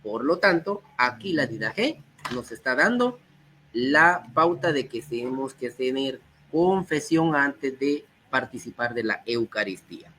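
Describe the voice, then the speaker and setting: A man, a guest speaker, who is slightly hoarse from a cold and reads quotations aloud before explaining them. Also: medium pitch, animated, a little slow, pushed and bright, low-quality recording. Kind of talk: teaching talk on early church texts.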